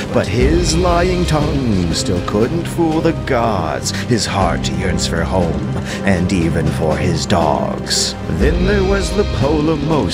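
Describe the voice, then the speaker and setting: A solemn bard played by a man declaims epic verse in an audio drama. The delivery is grandiose and very slow, in a deep register. Loud music plays along with the voice. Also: heavily acted. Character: solemn epic bard chanting of warriors and ships.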